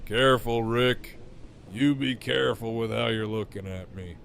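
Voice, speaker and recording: An actor playing a smug, sadistic gang leader with mocking, deliberate menace in an audio drama. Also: male voice, mid-range voice, steady tone, vocal fry, fairly bright tone, a little hiss or hum. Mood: cold warning.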